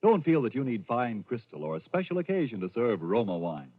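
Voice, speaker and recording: A man's voice: medium pitch, sing-song, fairly quick. A male commercial announcer reading a 1940s radio sponsor advertisement with a polished, emphatic sales delivery. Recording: dull vintage radio broadcast recording.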